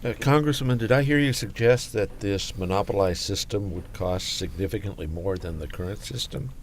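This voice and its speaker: A man's voice: on the low side, normal speed, a little hiss or hum. A man acting as interviewer, putting formal questions to political guests, heard slightly off-mic.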